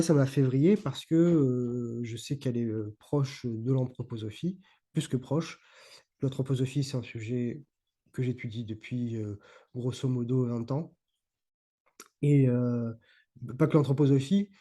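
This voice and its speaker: A man's voice: medium pitch, mellow. A middle-aged man from Provence, a guest talking casually in an interview, hesitant with many fillers and restarts. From Provence, France. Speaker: guest, YouTuber on esotericism.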